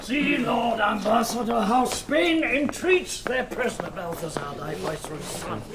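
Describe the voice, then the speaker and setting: High, grand, jovial king. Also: expressive, unhurried, crowd noise, heavily acted. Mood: gracious, stately. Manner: grand, declamatory. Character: jovial, gracious King of Spain hosting feast. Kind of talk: classical radio drama verse acting.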